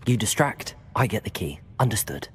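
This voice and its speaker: An actor playing an eager young student with an earnest, quick manner. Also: male voice, fairly low pitch, very animated, a little hiss or hum. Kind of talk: video game cutscene acting.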